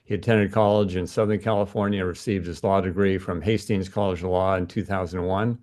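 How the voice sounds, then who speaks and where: Low-voiced male interviewer. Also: steady tone, a little fast, darkish tone. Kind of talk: prepared introduction and interview questions.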